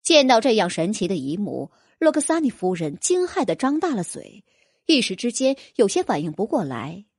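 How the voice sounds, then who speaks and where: A woman's voice: mid-range voice, big pitch swings, moderate pace, darkish tone, husky, no echo, clean studio sound. A woman reading a fantasy novel as an audiobook narrator, in a dramatic, scripted storytelling style.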